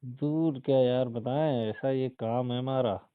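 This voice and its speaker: A man's voice: medium pitch, steady tone, unhurried, clean tone, dry recording, lightly acted. A man playing a sweet-talking suitor, casual and coaxing, in an intimate phone-call conversation. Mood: reassuring. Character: smooth-talking suitor sweet-talking his lady love. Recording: muffled phone call recording.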